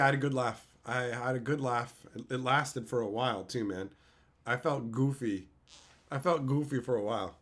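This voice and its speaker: A man with a loud voice chatting casually on a live stream, swearing and laughing often. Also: mid-range voice. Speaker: streamer host.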